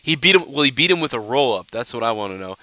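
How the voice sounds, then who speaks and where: A man's voice: medium pitch, animated, fast, bright, forceful tone, no echo. A young man hosting a casual live stream, blunt and ranting, sounding a little nasal from a stuffed-up nose. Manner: blunt, ranting, loose and profane. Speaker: livestream host answering viewer questions.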